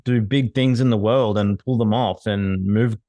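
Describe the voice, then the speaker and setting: A man co-hosts a podcast with a lowish voice. He sounds eager and motivational, and he swears casually in a relaxed chat. The mic is close and the sound is dry. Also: measured pace, no echo. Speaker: podcast co-host.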